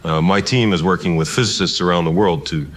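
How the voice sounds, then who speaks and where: A man playing a shaken, guilt-ridden physicist who speaks haltingly in an audio drama interview. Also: deep voice, noisy background, close to an everyday voice. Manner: halting, stammering, awed.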